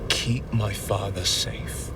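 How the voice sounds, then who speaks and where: A man playing a noble young hero in a video game, grave, bitter and resigned, heard at a distance under loud noise. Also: lowish voice, fairly even intonation, slow. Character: noble young hero bargaining away his soul. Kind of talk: dramatic video game voice acting.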